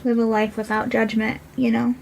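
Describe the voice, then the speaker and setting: Podcast guest, woman, gravelly fry, level intonation, earnest and plain-spoken. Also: fairly high pitch, flat delivery, moderate pace, darkish tone, a little hiss or hum. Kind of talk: earnest conversational discussion.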